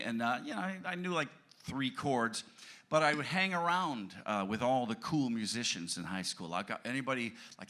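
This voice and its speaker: A man with a bright, forceful voice tells a self-mocking anecdote to a room on a cruise ship. He talks into a handheld mic and chuckles along with his own story. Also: fairly low pitch. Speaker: band member, lead singer. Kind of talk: storytelling on a panel. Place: cruise ship event room before an audience. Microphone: handheld microphone.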